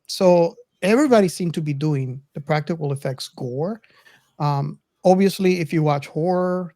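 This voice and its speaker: A man's voice: on the high side, darkish tone, no echo, studio quality. A middle-aged man co-hosting a podcast in casual film discussion, reflective and often searching for his words. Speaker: podcast co-host.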